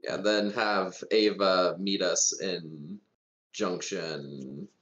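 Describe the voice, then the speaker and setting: A man's voice: low-pitched, fairly even intonation, very slow, a bit bright, echoey room, dull audio. A man chatting casually as a player in a tabletop game. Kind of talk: casual chat.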